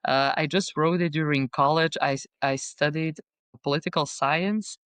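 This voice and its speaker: A woman's voice: low voice, fairly even intonation, moderate pace, a bit bright, clear, resonant tone, no room sound. Chatty woman author, non-native English speaker. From Bosnia and Belgium. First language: not English; speaks French, Dutch, Bosnian. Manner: chatty, lots of fillers and restarts. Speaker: guest author. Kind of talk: casual podcast interview.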